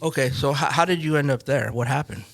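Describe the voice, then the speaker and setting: A man's voice: mid-range voice, quick pace, vocal fry. A man in his forties hosting a recovery podcast, friendly and rambling, often restarting his sentences. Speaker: podcast host. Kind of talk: casual interview.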